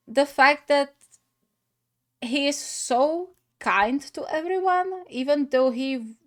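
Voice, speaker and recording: Gaming host, woman, highish voice, unhurried and halting, casual off-the-cuff reactions.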